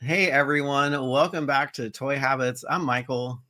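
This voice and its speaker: A man's voice: mid-range voice, normal speed, loud music behind the voice. A man co-hosting a live toy stream, giving short, supportive replies in casual chat. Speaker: co-host.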